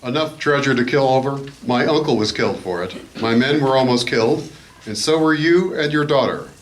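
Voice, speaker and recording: A man playing a shrewd, commanding adventure hero, speaking in a measured, formal way in a live radio play. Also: medium pitch, slight background noise, lightly acted, rough recording. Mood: stern.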